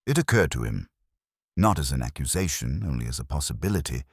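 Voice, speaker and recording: A man with an extremely deep voice narrates literary detective fiction. His delivery is formal, dry and measured, yet the intonation moves widely. The sound is close, with no room on it. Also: very animated, slightly rough, no echo. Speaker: audiobook narrator.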